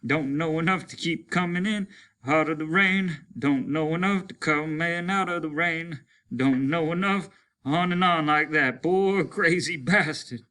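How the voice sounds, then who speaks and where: Older male astronaut for a sci-fi storybook, performed by a man: high, bright, breathless, excitable, with a bitter wry streak. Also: a little slow, clear, resonant tone. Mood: wry, panting. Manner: animated, breathless exclaiming.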